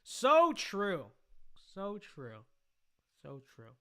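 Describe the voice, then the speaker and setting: Young American male livestreamer, higher-pitched bright voice, casual, halting and repetitive delivery. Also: wildly swooping pitch, smooth. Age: young adult. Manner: halting delivery with repeated phrases. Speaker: livestream host. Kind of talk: casual livestream chat answering viewer comments.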